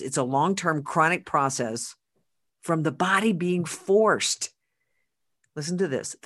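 Brief: podcast host, emphatic, halting repeats, slows for quotes. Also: medium pitch, fairly bright tone. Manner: emphatic, repeats words, reads quotes slowly. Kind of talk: casual podcast chat.

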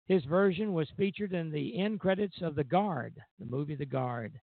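A man's voice: fairly high pitch, moderate pace, gravelly, no echo. A man hosting a music radio show, reading scripted notes on song history over a thin connection. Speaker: radio show host introducing records. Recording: thin phone-like audio.

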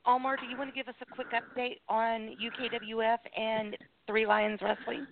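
A woman co-hosting a casual chat, quick to react and amused. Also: fairly high pitch, level tone, creaky, a crowd in the background. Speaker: co-host. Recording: tinny call audio, faint noise.

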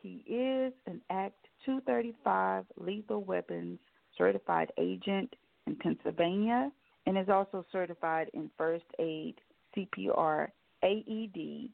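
A woman's voice: fairly low pitch, vocal fry, no echo. A woman hosting a radio show, introducing her guest and asking him questions eagerly. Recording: dry, phone-like line.

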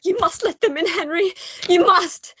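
An actress in a radio play playing an earnest, distraught gentlewoman, emotive and theatrical in her pleading. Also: very high-pitched, normal speed. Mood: alarmed pleading.

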